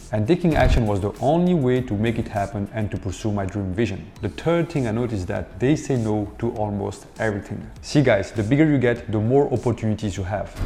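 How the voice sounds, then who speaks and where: A man's voice: fairly low pitch, mellow. A man whose first languages are French and Hindi gives an earnest, coaching motivational talk in English. He speaks straight to his viewers and keeps calling them "guys". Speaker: entrepreneur and video host.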